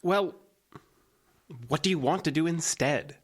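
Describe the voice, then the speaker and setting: A man playing a calm, reassuring young friend in a naturalistic audio drama, gently reasoning. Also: fairly high pitch, a little slow, husky. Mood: placating.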